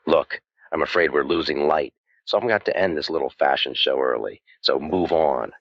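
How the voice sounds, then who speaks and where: An actor playing a cynical, wisecracking private detective in a deadpan, sardonic 1940s radio noir style. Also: male voice, extremely low pitch, brisk, no echo. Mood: mocking. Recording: dull lo-fi sound over music.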